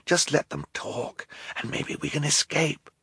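A man's voice: fairly high pitch, expressive, brisk, no room sound, voice pushed up. A man voicing a clever, anxious teenage boy who whispers. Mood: urgent. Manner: hushed whisper.

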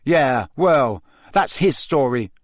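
A man's voice: on the high side, animated, moderate pace, dry recording, studio quality, pitched above the performer's own voice, lightly acted. A man voicing a jealous, sulky teenage schoolboy in a storybook, sneering and spitting his accusations. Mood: nasty, accusing.

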